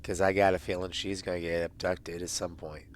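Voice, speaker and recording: A man's voice: deep voice, flat delivery, brisk, a little hiss or hum. A man improvising in character as a player in a tabletop role-playing game.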